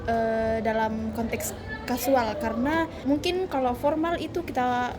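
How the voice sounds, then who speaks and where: High-voiced young woman, relaxed. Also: measured pace, over music. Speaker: student. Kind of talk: casual interview and skit.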